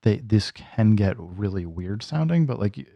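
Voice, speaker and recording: Low, gravelly man giving a tutorial. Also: animated, moderate pace, dry recording, highs cut off. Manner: informal, thinking aloud while demonstrating. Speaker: music gear tutorial host. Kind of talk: casual hands-on software tutorial.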